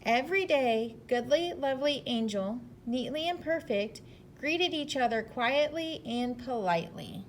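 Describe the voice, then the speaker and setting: A woman with a fairly high voice reads and talks to young children like a teacher. Her delivery is expressive and slow, with faint noise behind it. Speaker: teacher reading to pupils. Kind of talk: children's storybook read-aloud and talk.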